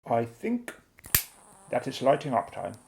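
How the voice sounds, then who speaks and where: A gravelly, mid-pitched gentleman aviator played by a man, dashing and unflappable. He talks in a jaunty, chummy period manner, like the inventor hero of a radio adventure. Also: wildly swooping pitch, a little slow, background noise, full character voice. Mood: observant. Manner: clipped, jaunty, old-fashioned chummy British speech. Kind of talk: radio adventure drama acting.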